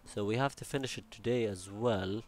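A young man with an Arabic accent narrates his building session over gameplay in a relaxed, chatty way. He rambles, jokes and mutters to himself, in a lowish voice recorded close on a desktop mic. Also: fairly quick. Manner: chatty, rambling, jokey asides and self-talk. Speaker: Minecraft building channel host. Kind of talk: casual gameplay commentary.

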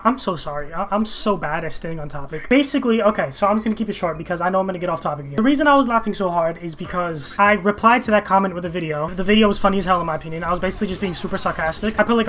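A man's voice: sits high, fairly bright tone. A young man chatting casually over his own gameplay, rambling off topic and joking, swearing freely, talking into a gaming headset in his bedroom. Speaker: gaming streamer.

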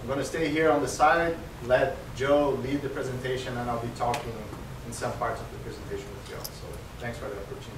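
A man in his thirties from Brazil with a Brazilian Portuguese accent, introducing himself as a soil scientist at a conference, halting and full of fillers. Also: medium pitch, steady tone, recorded from a distance. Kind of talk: self-introduction at a conference panel. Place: university lecture room with audience. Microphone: far room camera, no mic seen. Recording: echoey room recorded from afar, noisy.

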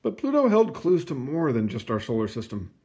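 A man reading a children's book aloud in an expressive, explanatory way, with casual asides. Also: mid-range voice, a little fast. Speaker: picture book reader.

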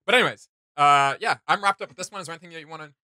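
A man's voice: a little high, rapid-fire, bright, forceful tone. A man in his thirties giving casual reaction commentary, rambling along with emphatic slang. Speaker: reaction channel host. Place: small indoor home studio room.

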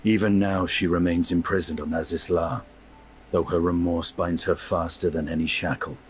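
An actor voicing a grim, proud elf dragoon in a video game cutscene, speaking in stern, formal, measured declamation. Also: male voice, sits low, level tone, a little hiss or hum, natural voice. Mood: grave, dry.

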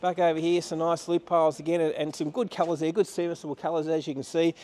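Male auction presenter, slightly high voice, matter-of-fact salesman delivery, ad-libbed walkthrough. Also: little pitch movement, fast, a little mellow. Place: large warehouse, walking about.

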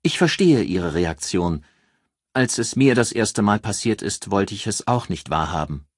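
A man's voice: on the low side, animated, measured pace, breathy edge, no echo, studio quality. A man voicing a demure but calculating female boss in an audiobook, bashful and earnest with feigned coyness.

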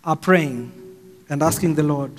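A middle-aged Kenyan man preaching a slow, emphatic sermon from a pulpit stand mic in a large church hall. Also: on the high side. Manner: slow and emphatic, raising his voice for stress. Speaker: pastor. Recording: long hall reverb, faint music.

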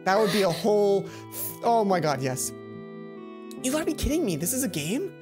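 A young man hosts a let's play with game music underneath. He reads visual novel narration aloud and cuts in with expressive, dramatic reactions of his own. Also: high voice, moderate pace. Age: young adult.

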